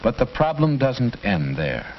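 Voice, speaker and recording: A man's voice: mid-range voice, sing-song, unhurried. Male narrator, vintage training film, authoritative and formal, muffled audio with heavy hiss. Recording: dull old film soundtrack with loud hiss.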